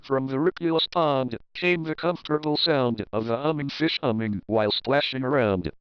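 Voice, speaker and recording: A man narrating a rhyming children's story as an expressive, theatrical read-aloud with deliberate phrasing. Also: mid-range voice, highs cut off.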